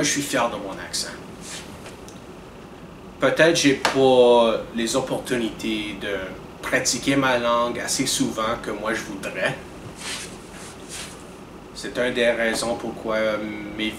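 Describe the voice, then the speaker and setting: A young man, talking earnestly to camera in a measured, deliberate way with frequent restarts. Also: mid-range voice. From Canada. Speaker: video blogger. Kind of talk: direct-to-camera commentary. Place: indoors in front of a dark curtain. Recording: roomy, distant with air-conditioning hum.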